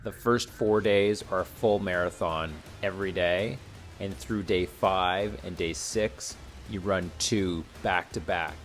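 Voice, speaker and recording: A man, an ultramarathon runner, speaking in a reflective, measured way in a documentary interview. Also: low-pitched, fairly even intonation. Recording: music bed under the voice.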